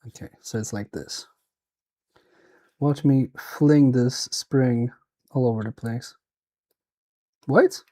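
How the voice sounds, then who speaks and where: A man's voice: mid-range voice, slow pace, long pauses, mellow. A man with a Swedish accent, thinking aloud and muttering to himself as he repairs a toy on a live stream. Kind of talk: casual live-stream commentary while repairing.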